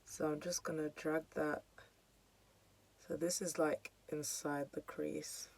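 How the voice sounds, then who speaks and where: A young woman with a hoarse, croaky voice, chatting casually through a makeup tutorial with lots of fillers. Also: low-pitched, level tone, takes it slowly. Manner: chatty, filler-heavy, self-deprecating. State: hoarse, losing her voice. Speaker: beauty vlogger.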